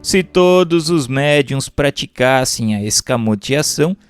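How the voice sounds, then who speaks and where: A man reading a book aloud in a formal, measured, rhetorical manner, like scripted audiobook narration. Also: mid-range voice, expressive, clear, resonant tone, soft background music.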